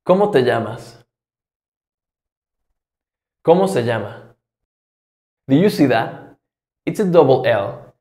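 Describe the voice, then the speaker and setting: An echoey room carries a young man with a Mexican accent and a medium-pitched voice. He teaches Spanish as a scripted lesson, slowly and deliberately, enunciating each phrase for learners. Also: big pitch swings, mellow. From Mexico, Guadalajara in Jalisco. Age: 20s.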